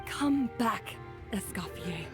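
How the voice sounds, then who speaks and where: Self-critical young chef, music behind. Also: female voice, mid-range voice, lively intonation, slow. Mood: remorseful. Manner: earnest, self-deprecating.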